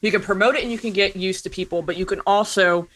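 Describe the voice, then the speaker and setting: A woman's voice: medium pitch, fairly even intonation, fast talker, fairly bright tone, rough recording. A woman talking casually and candidly as a podcast guest, telling informal stories and acting out bits of past conversations. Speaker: podcast guest, creative filmmaker.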